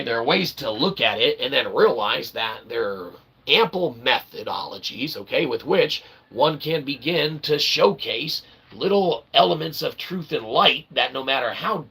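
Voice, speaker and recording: A man hosting his own channel, delivering a rambling, wordy philosophical monologue with sudden excited outbursts. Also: medium pitch, gravelly, slightly rough. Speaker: channel host.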